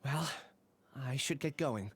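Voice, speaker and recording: A man voicing a gentle, earnest, remorseful young man in a video game. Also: medium pitch, slow pace, breathy edge. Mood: resigned.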